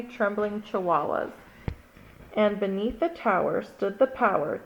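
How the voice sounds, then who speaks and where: A woman reading a children's picture book aloud in an expressive, sing-song read-along style. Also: a little high, dull audio. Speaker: storybook reader.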